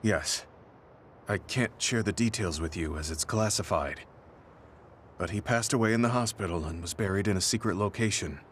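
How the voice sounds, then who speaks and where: Low-voiced stoic soldier played by a man. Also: slight background noise, close to an everyday voice. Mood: solemn. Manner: serious, reserved, measured. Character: stoic, earnest male veteran soldier, quietly grieving and resolute. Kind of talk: English video game voice acting. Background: soft background music.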